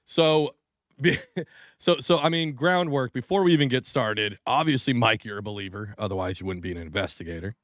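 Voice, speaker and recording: A man's voice: mid-range voice, fairly quick, fairly bright tone, no echo, clean studio sound. A man hosting a casual podcast, talking loosely with lots of swearing and laughter. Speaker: podcast host. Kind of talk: casual chat.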